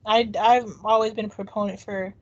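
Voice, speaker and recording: A woman co-hosting a casual chat, earnest and reflective, often pausing with um. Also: medium pitch, flat delivery, highs cut off. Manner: earnest, reflective, many ums. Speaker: podcast co-host.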